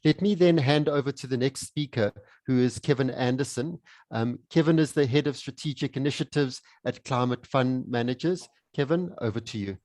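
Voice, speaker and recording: A man moderating a webinar panel, summing up points and handing over to speakers, often halting and repeating words. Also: medium pitch, darkish tone, no echo.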